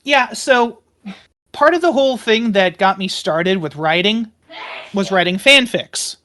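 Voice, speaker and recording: A woman playing a tabletop game, talking casually and haltingly, repeating phrases for emphasis. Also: mid-range voice, animated, fairly bright tone.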